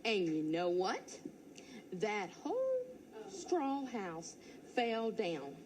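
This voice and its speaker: A teacher reading a children's storybook aloud in first person, playful and expressive. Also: female voice, medium pitch, extremely slow, background noise.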